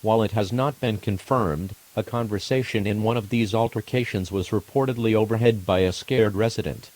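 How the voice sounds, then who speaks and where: A man's voice: fairly low pitch, brisk, a little mellow, low-quality recording. A man reading a scripted emergency broadcast as its announcer, formal and impersonal.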